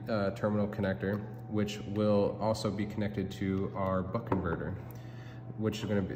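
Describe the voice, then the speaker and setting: A young man giving a prepared student project presentation and demonstration. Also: low-pitched. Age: young adult. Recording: hollow hall reverb, background hum.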